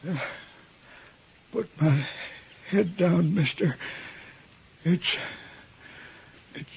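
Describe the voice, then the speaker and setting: A man's voice: high voice, very slow, gravelly, slightly rough, full character voice. An actor playing a weak, dying frontier man who labors to speak, in a 1950s western radio drama. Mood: dying, dazed. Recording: dull, hissy old radio recording.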